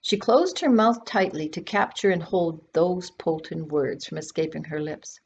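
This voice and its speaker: A woman's voice: low voice, unhurried. A woman reading a children's novel aloud expressively, as its author. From Canada. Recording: dull sound with faint background noise.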